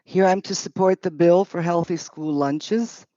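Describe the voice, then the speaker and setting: A woman's voice: on the low side, measured pace, mellow, no echo. A woman interpreting at a public hearing in short, hesitant, piecemeal phrases. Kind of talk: halting consecutive interpretation. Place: committee hearing. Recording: dull, muffled audio.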